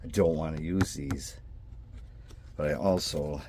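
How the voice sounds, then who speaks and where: A man with a very low, nasal, congested voice and a twang rambles at an unhurried pace through a model-building update. He keeps stopping mid-sentence, saying sorry and starting over. A faint hiss of room noise sits under the voice. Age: adult with a son. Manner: meandering, self-interrupting, apologetic. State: congested from sinus trouble. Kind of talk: rambling hobby vlog.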